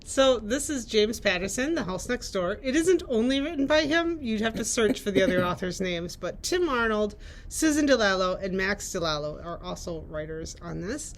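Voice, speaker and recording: A woman's voice: medium pitch, animated, moderate pace. A woman, a librarian, reading book blurbs aloud and chatting casually about them. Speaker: librarian presenting book picks.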